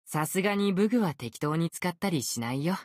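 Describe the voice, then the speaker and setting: A man's voice: sits high, animated, moderate pace. A man voicing an easygoing, unfazed ancient sword spirit in youthful male form for a game, with languid, nonchalant phrasing. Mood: airy, nonchalant. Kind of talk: Japanese game character voice acting.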